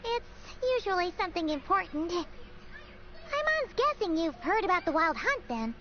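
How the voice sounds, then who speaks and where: A woman's voice: very high-pitched, sing-song, measured pace, heavy background noise, heavily acted. A woman voicing a tiny, childlike, boastful girl sidekick in an anime game, exclamatory and chirpy. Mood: eager. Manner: exclamatory, refers to herself in third person. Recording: muffled game capture over music.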